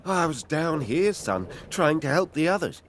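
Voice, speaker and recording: Man playing a fatherly, lively-pitched ship's captain. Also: normal speed, a little hiss or hum. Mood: defensive. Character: fatherly male ship's captain, reassuring, sceptical. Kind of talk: audio drama acting.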